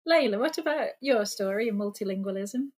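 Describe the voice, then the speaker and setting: A woman hosting a podcast, introducing her guests in a measured, welcoming way. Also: mid-range voice, lively intonation, darkish tone, breathy edge. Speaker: podcast host, senior lecturer.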